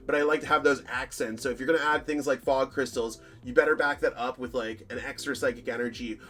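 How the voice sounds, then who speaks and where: A man with a slightly hoarse voice, hosting a casual, rambling commentary over card game deck lists, full of fillers and self-corrections. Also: medium pitch, a bit bright.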